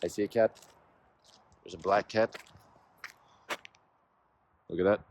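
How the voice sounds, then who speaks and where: Low-voiced guy in his 20s, casual reflective vlog chat. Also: steady tone, slow, a little mellow. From Sweden. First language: Swedish. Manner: reflective, musing, self-motivational. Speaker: vlogger. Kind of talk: casual vlog talk to camera.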